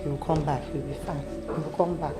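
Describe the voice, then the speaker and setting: A woman's voice: deep voice, fairly even intonation, fast talker, roomy sound, music in the background, close to an everyday voice. A woman playing a soothing Nigerian friend. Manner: soothing, reassuring. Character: calm young woman reassuring her friend.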